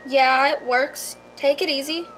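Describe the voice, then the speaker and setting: A woman playing a calm, practical real estate agent in a radio drama, matter-of-fact and reassuring. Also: high voice, unhurried, slight background noise.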